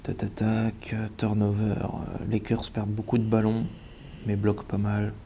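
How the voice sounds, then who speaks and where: Guy with a cold, low flat mumbly voice, thinking out loud while eating, bit of background hiss. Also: normal speed. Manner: mutters and repeats words while checking stats. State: has a cold. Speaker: sports betting analyst. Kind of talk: casual thinking-aloud match analysis.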